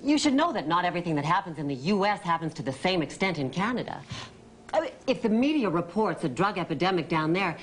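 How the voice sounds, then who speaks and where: An actress playing a stern, no-nonsense news co-anchor in a scripted sketch. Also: female voice, fairly low pitch, big pitch swings, quick pace, a little hiss or hum. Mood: scolding.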